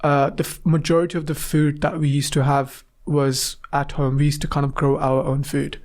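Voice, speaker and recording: A young man from Afghanistan, a guest in an interview, talking casually and haltingly with many fillers. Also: a little high, level tone, darkish tone.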